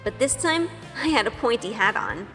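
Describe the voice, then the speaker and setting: A woman plays a sheltered young witch in an audio drama, sweet-natured and full of wonder. Her voice sits fairly high. It rings in a long reverb with soft music underneath. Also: sing-song, moderate pace. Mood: wonder-struck. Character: Grace, wide-eyed sheltered good young woman turned witch. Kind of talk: first-person audio drama narration with in-scene dialogue.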